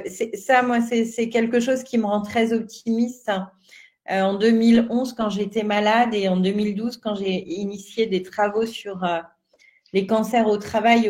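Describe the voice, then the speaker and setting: A woman from northern France talks on a webinar panel at a middle pitch. She explains patiently and earnestly, stopping often to find her words. It is an everyday, unhurried voice. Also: darkish tone. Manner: earnest and explanatory, with frequent hesitations. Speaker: panelist, self-employed business owner.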